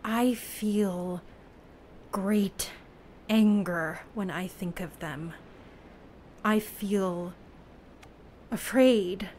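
A lonely, guarded survivor on an ocean raft in an audio play. The words come slowly and carefully, halting, in a mid-pitched voice over faint ambient noise. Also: female voice, a little mellow. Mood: anxious. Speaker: actor. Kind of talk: audio drama acting.

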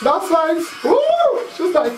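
A woman's voice: very high-pitched, animated, takes it slowly. A young woman hosting a reaction video, squealing, whooping and laughing as she watches a performance indoors. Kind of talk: excited casual reaction commentary. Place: salon-style room indoors. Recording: roomy, distant mic, loud music playing. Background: music from the clip being watched.

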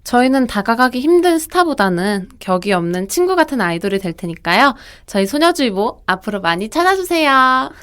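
A young actress playing a modest, composed idol group leader. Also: female voice, on the high side, very animated, fairly quick, few pauses, a bit bright, clean tone. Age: young adult.

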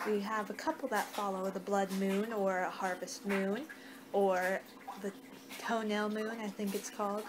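Slow-paced young woman, noisy ASMR roleplay. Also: mid-range voice, flat delivery. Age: young adult. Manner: unhurried, distracted muttering to herself. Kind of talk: ASMR roleplay, improvised reading of fortune cards. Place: small home room, indoors. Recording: noisy amateur webcam-style room recording.

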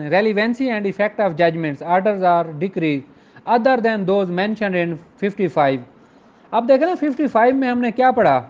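A man with a Pakistani accent giving an informal, explanatory lecture as a law teacher. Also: high voice. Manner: explanatory, switches between Urdu and slowly read English. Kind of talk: informal lecture, reading out and explaining legal articles. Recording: dull lo-fi recording.

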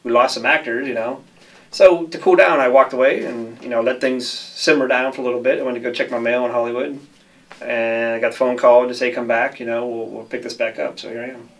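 A man of medium pitch talks quickly, pressing questions and objections in a group discussion. The mic is a bit distant. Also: fairly even intonation, a bit bright. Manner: challenging, questioning.

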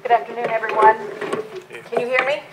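A middle-aged woman, a police chief, gives a budget presentation in a council meeting room, speaking loudly and plainly with many fillers. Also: on the high side, flat delivery, brisk, noisy background.

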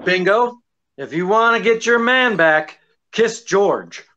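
A middle-aged actor playing a suave, teasing detective with a wry, unhurried delivery in old-time radio comedy style. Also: male voice, high-pitched, lively intonation, pushed and bright, heavily acted. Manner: unhurried, wry, hesitating for effect. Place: indoors, small draped room. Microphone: video call. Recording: muffled video-call audio.

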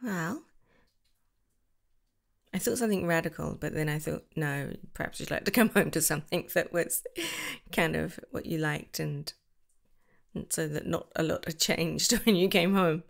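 A woman performing an affectionate ASMR girlfriend roleplay, gushing and squealing by turns, then dropping into soft whispers. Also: deep voice, moderate pace, creaky.

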